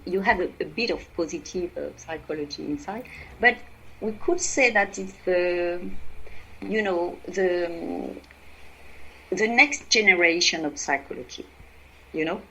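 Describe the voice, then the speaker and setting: A woman with a French accent, speaking English as a second language, explaining her work as a podcast guest in halting speech full of fillers and restarts. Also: mid-range voice, pushed and bright, husky, rough recording. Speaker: guest, EFT practitioner. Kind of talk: podcast interview.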